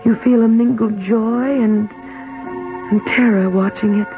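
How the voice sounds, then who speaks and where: An actress playing a gentle, devout young mother, earnest and tender in 1940s radio drama style. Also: a little high, steady tone, takes it slowly. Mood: tender awe. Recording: tinny 1940s radio with music.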